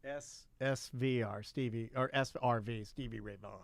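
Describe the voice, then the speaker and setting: A man's voice: medium pitch, fairly even intonation, moderate pace, gravelly, dry recording. A man co-hosting a radio show, chatting casually with a joking, profane manner. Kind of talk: casual comedic banter with co-hosts.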